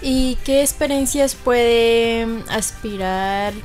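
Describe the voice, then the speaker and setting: A woman's voice: on the high side, slow pace, a little mellow, faint music behind. A woman hosting an interview, friendly, backing the guest with "ujú" and "súper". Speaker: radio host. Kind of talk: interview chat.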